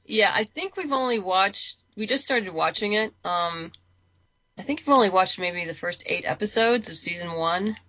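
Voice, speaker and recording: A woman with a bright, forward voice in casual interview chat. She speaks in halting, chatty bursts. The sound is close and dry, though a little dull. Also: mid-range voice, dry recording. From lives in Los Angeles. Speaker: interview guest, writer.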